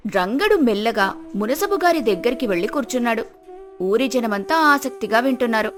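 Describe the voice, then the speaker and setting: Woman, storyteller, scripted children's tale narration, higher-pitched voice, soft music behind. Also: expressive, a little fast.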